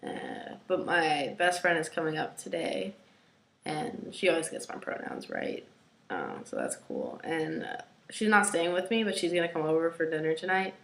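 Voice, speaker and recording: Raspy, gravelly young woman in echoey room. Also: sits low, big pitch swings, normal speed, fairly bright tone, slight background noise. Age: young adult. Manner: hesitant, rambling, many fillers, drops to a near whisper at times. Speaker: vlogger. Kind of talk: casual personal video diary. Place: at home, indoors.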